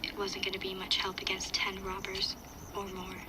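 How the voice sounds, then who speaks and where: A woman's voice: medium pitch, completely flat delivery, normal speed, heavy background noise, natural voice. A woman playing a young girl survivor, narrating a dramatic first-person audio log in a sombre, watchful way. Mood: sombre, tense. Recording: loud ambient sound behind voice.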